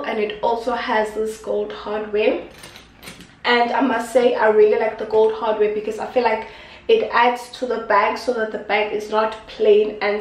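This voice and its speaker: A woman's voice: on the high side, recorded from a distance. Congested young woman vlogging in a kitchen. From South Africa. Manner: chatty, affectionate, repeats herself. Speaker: beauty vlogger. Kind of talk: casual unboxing talk to camera. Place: small indoor kitchen. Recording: echoey room, voice off-mic.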